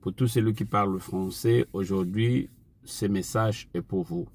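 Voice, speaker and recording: Slow, gravelly preacher. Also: male voice, fairly low pitch, darkish tone. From Angola. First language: Portuguese. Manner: slow, deliberate preaching with repeated refrains. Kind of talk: online sermon.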